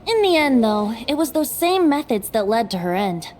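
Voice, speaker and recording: A woman's voice: a little high, sing-song, normal speed, darkish tone, slight background noise. A woman playing a whimsical, worldly-wise young male bard in an English-dubbed fantasy game, with a light boyish tone and a playful yet reflective manner. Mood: reflective, measured. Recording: music under the dialogue. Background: game music.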